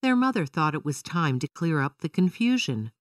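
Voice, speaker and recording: Animated, lower-pitched woman storyteller. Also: moderate pace, no room sound, studio quality, distant mic. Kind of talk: scripted children's story narration.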